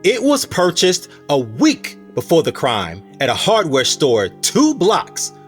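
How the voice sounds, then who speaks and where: A man's voice: fairly high pitch, wildly swooping pitch, takes it slowly, soft background music, heavily acted. A man acting as an earnest, indignant prosecuting lawyer in a radio play, formal and emphatic. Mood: pressing, formal.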